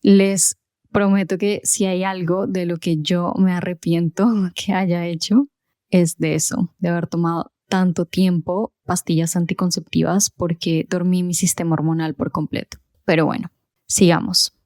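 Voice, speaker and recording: A woman's voice: fairly low pitch, level tone, unhurried, a little mellow, dry recording, clean studio sound. A woman, hosting her own podcast and telling her personal story in an earnest, conversational way. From Colombia. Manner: conversational, earnest, with small fillers. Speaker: podcast host and health coach.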